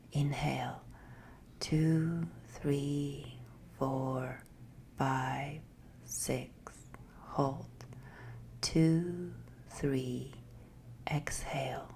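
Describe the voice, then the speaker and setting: A woman guiding a meditation in a soft, breathy, half-whispered voice, gentle and soothing with long pauses. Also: deep voice, slight background noise. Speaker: meditation guide. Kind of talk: guided meditation, slow scripted narration.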